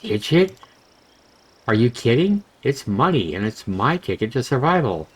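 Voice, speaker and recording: A man's voice: medium pitch, sing-song, measured pace, slightly rough. A man voicing a brash, money-hungry young adventurer from a visual novel, with slangy, cajoling banter. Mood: indignant, boastful. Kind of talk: visual novel character reading.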